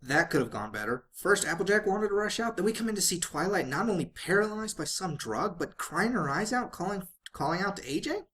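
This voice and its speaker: A man's voice: sits high, big pitch swings, a little fast, few pauses, a bit bright, pitched above the performer's own voice. A man voicing a brash, blunt young female pony in an exasperated, indignant way for a fan story reading.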